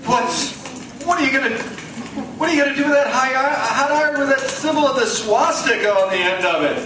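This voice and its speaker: Guy as a frantic professor father, really high sharp voice, stammering, echoey radio play. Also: lively intonation, brisk, background noise. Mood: pleading, alarmed. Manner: melodramatic, stammering. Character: earnest American professor, pleading frightened father. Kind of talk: old-time radio serial acting. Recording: echoey live coffee house, distant mic.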